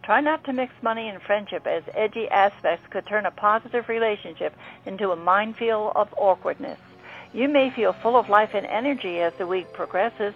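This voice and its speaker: Female radio astrologer, scripted read with vocal fry, music underneath. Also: mid-range voice, moderate pace. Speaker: astrologer presenting a horoscope segment. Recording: phone-like narrow band, music behind.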